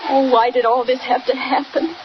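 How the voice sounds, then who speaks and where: A very noisy, dull vintage radio recording carries a high, plaintive young American woman played by an actress. She is a trusting, fretful victim of a swindle, voiced in 1940s melodrama style. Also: fairly even intonation, moderate pace, lightly acted. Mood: worried, regretful. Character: distraught, trusting young woman, cheated park owner.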